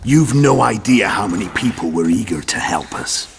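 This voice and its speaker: Game villain: cold, taunting assassin, low gravelly voice with animated swings, music behind. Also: male voice, moderate pace, a little hiss or hum. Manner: taunting, assured. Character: menacing, cold male kingslayer assassin. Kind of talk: video game villain acting.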